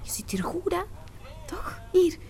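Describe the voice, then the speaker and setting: Levendige Vlaamse vrouw, geërgerd hondenbaasje door een actrice. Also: female voice, very high voice, very animated, a little slow, noisy background, exaggerated voice. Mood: coaxing, tender. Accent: Flemish. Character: exasperated woman in a breakup, doting on her dog. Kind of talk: naturalistic comedy radio drama acting.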